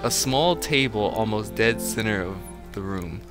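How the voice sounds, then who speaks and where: A man with a fairly low voice reads a story aloud as a joking co-host. He keeps stepping out of the text for dry, casual remarks, and clear music plays behind him on the call. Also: animated, a little slow. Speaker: co-host reading fan fiction aloud. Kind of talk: mock dramatic reading with casual banter. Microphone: online voice call.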